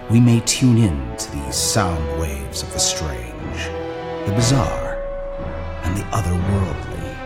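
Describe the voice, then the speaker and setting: A man hosting an audio drama anthology, reading a scripted, eerie introduction in a measured, dramatic way. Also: low-pitched. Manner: measured, dramatic, deliberate delivery.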